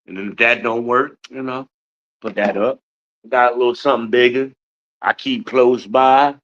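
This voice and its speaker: A man's voice: medium pitch, steady tone, moderate pace, a bit bright, muffled recording. A man in his fifties from Newark, New Jersey, hosting a podcast livestream with a boastful, blunt, profane rant. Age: 50s. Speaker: podcast host.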